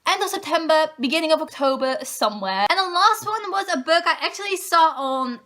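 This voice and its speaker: Girl talking books, super high voice, chatty and eager, fixes herself mid-sentence. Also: lively intonation, brisk, bright, forceful tone. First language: Dutch. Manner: chatty, self-correcting, eager. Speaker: book vlogger. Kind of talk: casual book-list chat to camera.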